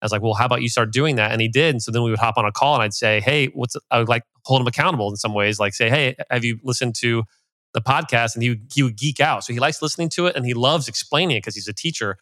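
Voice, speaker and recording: A man hosts a casual interview and talks quickly, with plenty of likes and you-knows. The recording is close and dry, with no room sound. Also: medium pitch, sharp tone, dry recording. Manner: chatty, retells anecdotes with filler likes. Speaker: podcast host and coach.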